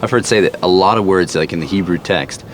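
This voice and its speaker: Fast-talking deep-voiced male host. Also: a little hiss or hum. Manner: eager, chatty, rambling. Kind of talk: casual interview.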